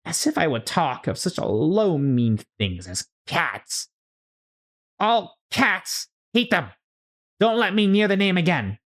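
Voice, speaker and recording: A man voicing a small, touchy mouse in a storybook, shrill and harsh, outraged and exclaiming. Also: very animated, slow pace, fairly bright tone, full character voice. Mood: furious. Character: small, touchy, indignant mouse that hates cats.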